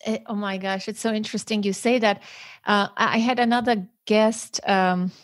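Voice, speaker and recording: Woman host, native armenian speaker, engaged and animated, dry close recording. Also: medium pitch, normal speed, dry recording. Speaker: podcast host. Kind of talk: conversational interview.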